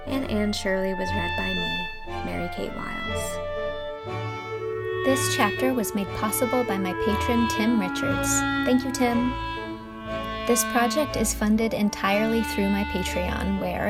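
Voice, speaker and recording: A woman narrating a scripted storybook as its host, with a measured, expressive delivery. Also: medium pitch.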